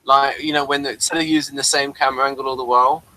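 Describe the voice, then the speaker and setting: A man with a bright, forward voice at a middling pitch gives casual commentary as co-host of a review. He talks in a halting, rambling way, often leaving sentences unfinished. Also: level tone, no room sound, poor audio. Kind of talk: casual running commentary on a TV episode.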